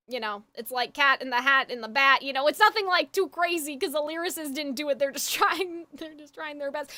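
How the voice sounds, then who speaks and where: A woman's voice: high voice, sharp tone, dry recording. A woman livestreamer chatting casually and ramblingly with her viewers, opinionated and informal, sounding a little phlegmy. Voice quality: slightly congested, phlegmy. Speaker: game livestreamer.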